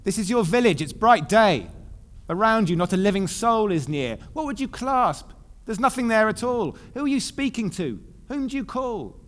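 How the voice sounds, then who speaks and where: A man's voice: sits high, sing-song, normal speed, voice pushed up. A man voicing an insistent, scolding villager who questions people in a dramatic poetry performance. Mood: urgent, scolding. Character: scolding, nosy villager questioning a dazed girl.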